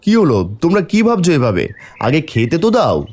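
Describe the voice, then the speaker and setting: A man's voice: on the high side, huge pitch swings, fast, darkish tone, breathy edge, heavily acted. A man playing a suspicious, hot-tempered village husband in a folk-tale cartoon, with a dramatic, emphatic delivery. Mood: puzzled, demanding.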